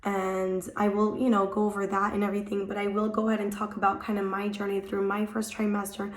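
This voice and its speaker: Hoarse young woman chatting at home. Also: mid-range voice, reverberant. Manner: chatty, rambling, many "like" fillers. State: hoarse from a cold, voice coming back. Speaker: vlogger. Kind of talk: casual chat to camera.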